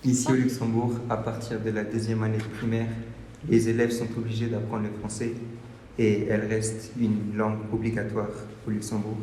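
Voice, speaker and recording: A teenage boy speaks in a flat, careful monotone. His voice is low and mellow. It echoes in a large hall with faint ambient noise. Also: moderate pace. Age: teens. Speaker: student.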